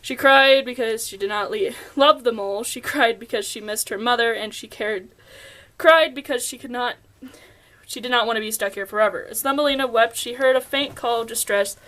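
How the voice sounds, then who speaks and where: A woman's voice: fairly high pitch, moderate pace, sharp tone. A young woman narrating a scripted fairy tale as storyteller, stumbling over words now and then as she reads.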